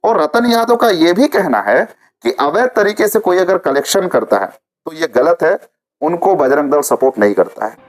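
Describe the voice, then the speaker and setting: A man's voice: a little high, sing-song, normal speed. A man presenting informal news commentary to viewers, repeating phrases for emphasis.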